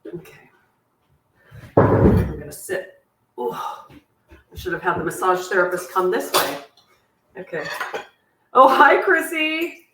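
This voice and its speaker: Woman host, slightly low and squeaky voice, chatty cooking-class teacher nursing a sore back, recorded at a distance in an echoey kitchen. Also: big pitch swings, slow, long pauses, a little hiss or hum. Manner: chatty, warm-hearted asides, laughs at herself. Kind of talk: live online cooking class, answering viewer questions. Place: home kitchen. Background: clinking cutlery and dishes.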